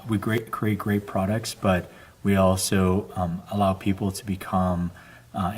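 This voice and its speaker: A middle-aged man hosting a casual family interview, relaxed, with a slightly raspy voice and a habit of trailing off softly. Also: low-pitched. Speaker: host.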